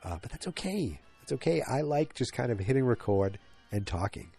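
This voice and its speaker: A man's voice: medium pitch, big pitch swings, moderate pace, creaky, dark tone, breathy edge, faint music behind. A man in his forties with a stuffed-up, congested voice, hosting a solo podcast and rambling with many restarts and fillers. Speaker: podcast host. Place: home, late at night.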